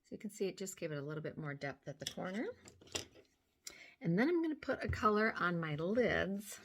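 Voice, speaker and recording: An older woman with a slightly hoarse voice, gently talking through a makeup tutorial step by step, filmed close on a phone at home. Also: on the low side, expressive, fairly quick, a little hiss or hum. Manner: gentle, step-by-step explaining while applying makeup. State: hoarse from sinus trouble. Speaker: beauty vlogger. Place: small room at home, indoors. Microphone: phone camera close up.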